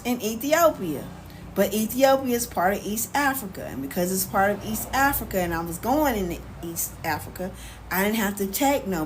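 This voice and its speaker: An American woman chatting casually on a livestream as host, answering viewers in an animated, sassy, colloquial way. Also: medium pitch, rough recording. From United States. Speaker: live stream host. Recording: clear background noise, ambient sound.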